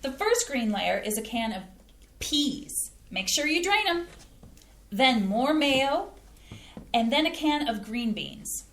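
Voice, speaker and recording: A woman's voice: high-pitched, big pitch swings, takes it slowly, fairly bright tone, heavily acted. A woman reading the part of a fed-up middle-aged mother and wife in an amateur staged play reading, speaking emphatically and scolding. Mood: cheery. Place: theater stage. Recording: roomy stage sound.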